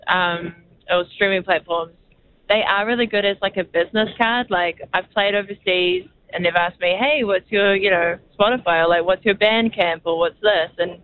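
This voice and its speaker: A woman's voice: lowish voice, slight background noise. A woman musician, a guest calling in, talking casually and hesitantly. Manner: casual, hesitant, lots of fillers. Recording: phone line.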